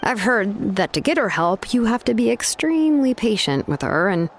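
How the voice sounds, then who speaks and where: A woman's voice: mid-range voice, sing-song, normal speed, heavy background noise. An actress playing a capable young craftswoman in a video game, casual and matter-of-fact with a grumbling, sighing edge. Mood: cautious advising. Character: capable young craftswoman, laid-back, grumbling but dependable. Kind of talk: video game character dialogue.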